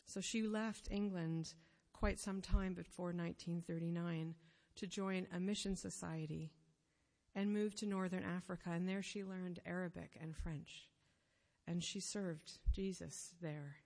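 A woman giving a sermon, telling a story in an earnest, measured way, pausing phrase by phrase. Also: fairly low pitch, fairly even intonation, darkish tone. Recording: long reverb of a hall.

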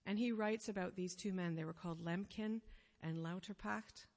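A woman giving a sermon, telling a story in an earnest, measured way, pausing phrase by phrase. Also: medium pitch, fairly even intonation, darkish tone. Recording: long reverb of a hall.

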